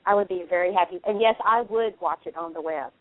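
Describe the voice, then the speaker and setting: A woman's voice: lowish voice, fairly quick. A woman chatting casually on a radio talk show, effusive and opinionated, talking at length over a phone line. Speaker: radio show panelist and soap fan. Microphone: telephone call. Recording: narrow, tinny phone line.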